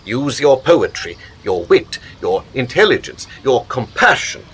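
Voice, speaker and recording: An actor in a radio play, playing a smooth political patron who speaks in measured, coaxing rhetoric and turns grandly philosophical. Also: male voice, mid-range voice, very animated, fairly bright tone. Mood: urging. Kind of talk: radio drama acting.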